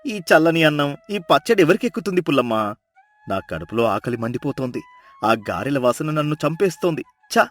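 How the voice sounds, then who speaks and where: A man voicing a poor, greedy village husband in a storybook drama, talking in a lively, excitable way. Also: a little high, brisk, faint music behind, close to an everyday voice. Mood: hungry.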